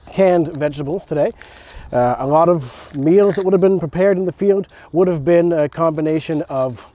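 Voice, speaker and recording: Male host, thirties, higher-pitched voice, conversational hobbyist explainer, recorded outdoors. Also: warm tone. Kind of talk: casual hobby chat and cooking demonstration. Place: outdoors in a leafy backyard.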